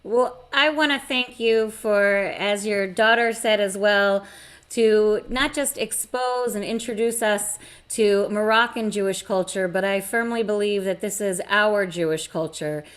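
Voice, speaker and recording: A woman hosting the event, giving closing thanks in a gracious, measured and sincere way on a video call. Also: mid-range voice. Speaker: synagogue event host. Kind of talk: closing remarks.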